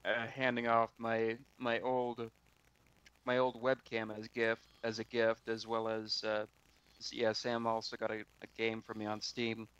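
A man casually telling a story on a video call, halting and often repeating his words. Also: fairly low pitch, monotone, fairly bright tone, clean tone, poor audio.

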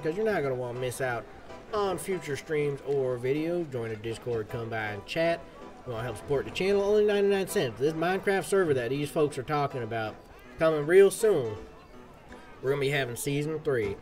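Man streaming in a cowboy drawl. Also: mid-range voice. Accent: put-on American cowboy drawl. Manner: folksy, drawling cowboy slang. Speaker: live streamer. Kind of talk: casual in-character game stream chat.

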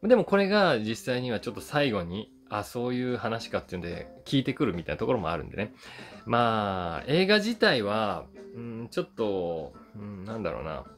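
Low-voiced man with very lively intonation. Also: brisk. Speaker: YouTube host reviewing films, voice actor. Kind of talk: casual film review monologue to camera. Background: background guitar music.

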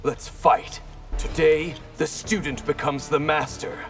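An actor voicing a calm, resolute young male ninja. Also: a little high, takes it slowly, soft background music. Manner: firm, resolute.

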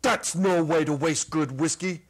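A gloomy giant of a cafe owner, as a male storyteller plays him, loud, gruff and blunt. The voice is pushed up and booms out in a dramatic story reading, full of menace. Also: measured pace. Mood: gruff amusement. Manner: booming, blunt, glowering.

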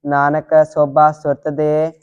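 A student reciting scripture line by line in a steady, deliberate devotional monotone. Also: medium pitch, clear, resonant tone. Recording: dull lo-fi audio.

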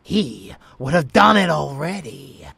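A man voicing an unhinged, fanatical vampire lover in dark romance ASMR roleplay, theatrical and menacing yet doting, husky and often dropping to a whisper. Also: a little high, sing-song, no echo, exaggerated voice. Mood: scornful, sinister. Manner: theatrical, savoring words, slow with dramatic pauses.

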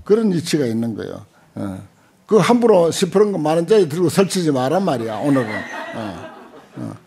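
An older man, a Buddhist monk giving an informal lecture in a halting, conversational way with short interjections. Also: fairly high pitch, sing-song, gravelly, dark tone, husky. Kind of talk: informal dharma lecture with commentary on a text. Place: lecture room at a temple center, seated at a desk. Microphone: desk gooseneck microphones close in front.

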